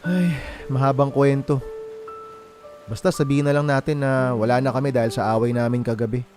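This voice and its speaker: An actor playing a heartbroken, wronged young boyfriend in a Filipino radio drama, with a plaintive, confiding manner and a Filipino accent. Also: male voice, mid-range voice, fairly even intonation, a little fast, a little mellow, lightly acted. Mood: downcast. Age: young adult. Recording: music playing behind the voice.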